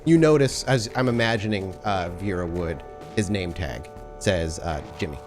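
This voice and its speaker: A man working as game master, improvising descriptive narration for a tabletop game in a halting way. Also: low-pitched, music in the background.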